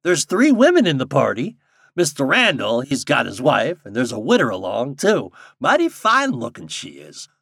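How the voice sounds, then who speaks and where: A man's voice: mid-range voice, huge pitch swings, moderate pace, creaky, a bit bright, husky, no echo, clean studio sound, exaggerated voice. A man voicing a sly old American backwoods guide with a folksy rustic dialect in a storybook reading. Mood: sly, talkative.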